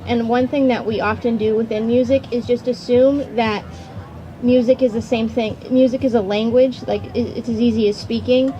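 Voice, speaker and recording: A woman's voice: on the high side, dark tone, muffled recording. A woman in her twenties, a student panelist, talking in a chatty, informal, hesitant way outdoors. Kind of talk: casual unscripted panel talk. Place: outdoors at a campground. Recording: outdoor recording with clear background noise.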